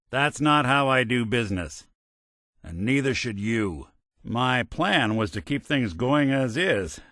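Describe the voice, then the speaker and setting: An actor playing a world-weary, principled robot detective in a video game, with an American accent and wry, plainspoken, hard-boiled old-time talk. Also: male voice, medium pitch, a bit bright, no echo, clean studio sound. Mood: stern, disappointed. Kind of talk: video game character dialogue.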